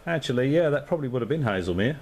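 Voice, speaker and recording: A man's voice: mid-range voice, expressive, racing, a little mellow. An Australian man and amateur radio operator in easygoing, rambling radio chat, full of fillers and signing off with call signs. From Perth, Western Australia. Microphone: radio transceiver microphone.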